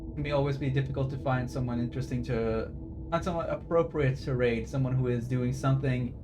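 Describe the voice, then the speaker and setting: A man's voice: medium pitch, steady tone, fast, noisy background. A man chatting casually on a live stream, rambling with swearing, fillers and restarts. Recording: stream audio with game music behind.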